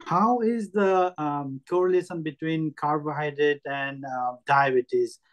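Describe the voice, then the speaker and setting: A man's voice: on the high side, unhurried, dull audio. Hesitant man with a Nepali accent. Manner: hesitant, with many fillers. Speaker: audience member asking a question. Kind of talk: spontaneous question.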